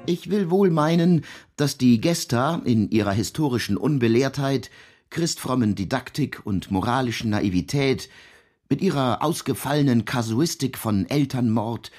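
A man acting as an erudite, wordy teller of medieval legends in a radio drama, with a husky voice and pedantic, ornate delivery. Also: mid-range voice, moderate pace, a little mellow, soft background music, natural voice. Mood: wry, scholarly. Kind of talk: literary radio drama narration.

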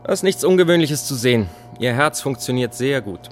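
A man's voice: mid-range voice, animated, brisk, a little hiss or hum, close to an everyday voice. An actor playing a matter-of-fact, reassuring yet noncommittal male hospital doctor in a naturalistic radio drama. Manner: explanatory, businesslike.

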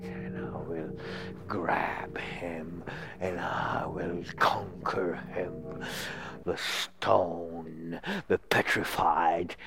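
A man giving a poetic spoken-word performance in fragmented, drawn-out phrases. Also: low voice, level tone, creaky. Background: music.

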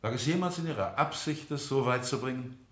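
An actor playing an insistent, probing defence lawyer in a courtroom radio drama, speaking in formal, rhetorical phrases. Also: male voice, mid-range voice, fairly quick, close to an everyday voice. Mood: measured, probing. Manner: formal, rhetorical, pointed questioning. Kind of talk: 1970 German courtroom radio drama acting. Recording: echoey courtroom-like room, 1970 radio production.

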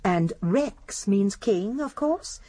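An actress playing a very old, genteel English lady detective in a radio drama, politely deferential yet gently persistent with pointed questions. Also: medium pitch, expressive, takes it slowly, dry recording. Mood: deferential, insistent. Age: older.

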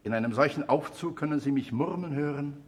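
A man's voice: fairly high pitch, brisk, lightly acted. A man acting an urbane, wily churchman in a radio drama, with a jovial, ironic manner. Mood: amused, sly. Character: urbane, wily high churchman, teasingly friendly.

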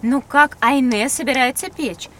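A woman's voice: high voice, unhurried, a bit bright, slight background noise. A woman playing an attentive, inquisitive young pupil who describes what is around and asks questions in an educational audio drama. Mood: curious, attentive.

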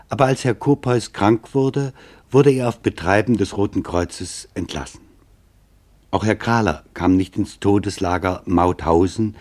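An actor playing a sober reporter who formally narrates a documentary radio play about wartime events. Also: male voice, fairly low pitch, a little mellow, lightly acted.

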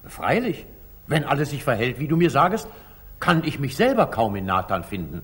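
A husky-voiced, commanding older sultan in an old radio play. His stately verse swings widely from soft musing to ringing command, indulgent and authoritative at once. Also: mid-range voice, very animated, moderate pace. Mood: calm authority. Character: magnanimous older sultan, commanding yet indulgent, ruler of Jerusalem. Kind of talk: 1940s German radio drama acting, classical stage verse. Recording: slightly muffled 1940s radio studio recording.

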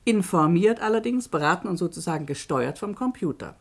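An actress playing a narrator in a radio play, explaining a case in a composed, wry manner. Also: female voice, lowish voice, expressive, fairly quick. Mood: wry, explanatory. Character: wry female narrator presenting a case to listeners.

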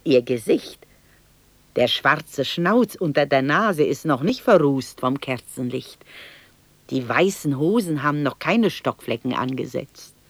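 An actress playing an aging, homesick widowed mother from Bohemia in a 1960s German radio drama monologue, reminiscing wistfully and anxiously in hushed, halting fragments. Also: low-pitched, expressive, no echo, exaggerated voice. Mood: wistful reverie. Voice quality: husky, breathy, creaky.

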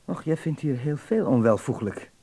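A man's voice: medium pitch, animated, moderate pace, dark tone. An actor playing a teasing, domineering male suitor in a radio drama, mocking and insistent. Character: teasing, pushy, domineering German boxer, amorous male suitor.

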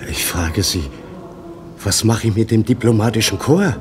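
A man playing an anxious elderly doctor in a German radio play, halting and insistent in his questioning. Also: on the low side, animated, music in the background, lightly acted. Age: older. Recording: noisy radio play, ambience behind.

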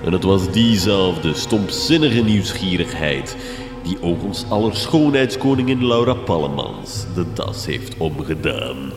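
A menacing villain acted by a man with a lowish voice that swoops theatrically. He declaims with mocking doom in a gothic radio play, in a big reverberant space with music behind. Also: animated, unhurried. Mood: ominous. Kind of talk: gothic horror comedy radio drama.

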